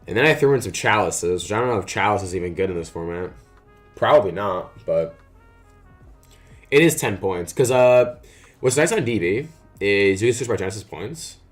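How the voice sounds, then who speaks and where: A young man streaming a card game, chatting casually with a raspy morning voice and lots of "uh" and "like" fillers. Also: low voice, expressive, normal speed, pauses often, soft background music. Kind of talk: casual live-stream chat.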